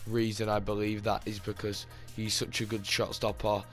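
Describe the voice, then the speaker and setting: A slightly hoarse man with a low voice talks through his team in casual gaming commentary. He rambles, circles back and restarts his sentences, and his delivery stays very flat. Faint music plays underneath. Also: moderate pace. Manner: rambling, with many fillers and restarts. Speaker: gaming channel host.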